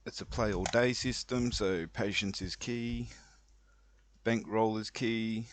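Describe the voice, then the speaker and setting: A man giving casual commentary as he explains his own gameplay, thinking aloud in an unhurried way with pauses. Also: lowish voice, steady tone, slight background noise. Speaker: online roulette player explaining a betting strategy. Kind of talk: casual screen-recorded commentary.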